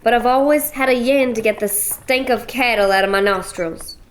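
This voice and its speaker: A woman's voice: on the high side, normal speed, natural voice. A woman voicing a bossy, blunt, middle-aged widow from a cattle ranch, speaking. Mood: bossy, folksy. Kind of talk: radio play acting.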